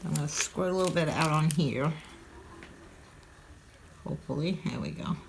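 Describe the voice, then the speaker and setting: Low-voiced older woman, slightly stuffy. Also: normal speed, creaky, low-quality recording. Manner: chatty, thinking aloud while working. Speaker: craft tutorial host. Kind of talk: relaxed craft demonstration. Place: craft table at home, indoors. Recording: audible crinkling craft noise.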